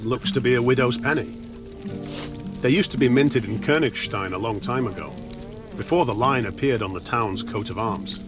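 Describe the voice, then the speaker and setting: An actor playing a humble, helpful innkeeper in a medieval video game, speaking deferentially. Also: male voice, mid-range voice, normal speed, over music, lightly acted. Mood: helpful, explaining.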